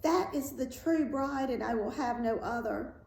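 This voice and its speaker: A woman's voice: a little high, measured pace, vocal fry, a little mellow, pitched above the performer's own voice. A woman storyteller voicing an impassioned young bridegroom, emphatic and decisive, in a storybook reading. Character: impassioned young bridegroom recognizing his true love. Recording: roomy echo with faint background noise.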